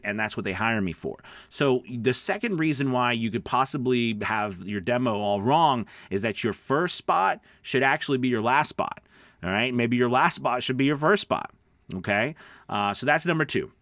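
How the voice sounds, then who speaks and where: A man's voice: mid-range voice, a bit bright, no echo, studio quality. A middle-aged man giving casual instructional talk as a voice-over coach, emphatic and conversational, often checking in with listeners. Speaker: voice-over coach and online host.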